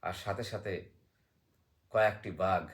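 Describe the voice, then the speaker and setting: A man telling folk tales in a conversational way, pausing often with fillers and small check-in questions. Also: deep voice.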